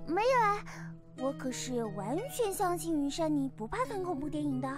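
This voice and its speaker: A woman's voice: sits high, very animated, normal speed. A woman voicing a cheerful, teasing teenage girl in a visual novel, sweet and playful. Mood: teasing, mock-dramatic. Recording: music playing behind the voice.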